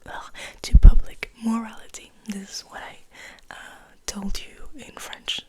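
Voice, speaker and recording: A woman speaking in a breathy, intimate whisper, soothing the listener as she reads and rambles in an ASMR style. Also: on the high side, little pitch movement, unhurried. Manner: intimate, soothing, repeats words softly. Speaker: ASMR host reading poetry aloud.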